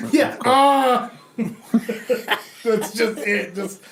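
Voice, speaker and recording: A man chatting casually as a podcast guest, joking and quick to laugh. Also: very high-pitched, a bit bright, a little hiss or hum. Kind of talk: casual banter.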